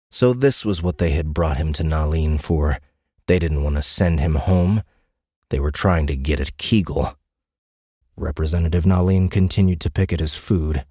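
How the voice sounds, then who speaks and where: Deep, mellow man narrating an audiobook. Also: no echo, studio quality. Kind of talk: scripted dramatic narration.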